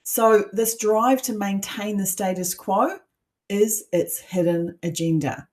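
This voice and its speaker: A woman's voice: medium pitch, slow, darkish tone. A middle-aged woman with a New Zealand accent, a coach teaching an online masterclass in an emphatic, persuasive way with rhetorical questions.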